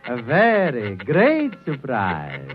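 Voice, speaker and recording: An actor playing a suave, sinister doll-maker in a 1950s radio drama, formal and unctuous. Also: male voice, mid-range voice, huge pitch swings, drawn-out words, loud music behind the voice, heavily acted. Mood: sly, ingratiating. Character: suave, sinister male doll-maker posing as innocent shopkeeper. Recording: dull old radio recording.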